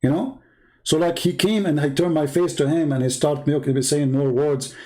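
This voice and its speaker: Higher-pitched, sarcastic Arabic-accented man. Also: fairly even intonation, a little mellow. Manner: sarcastic, halting with repetitions, acting out dialogue. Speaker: live show host. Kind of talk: casual, joking storytelling monologue.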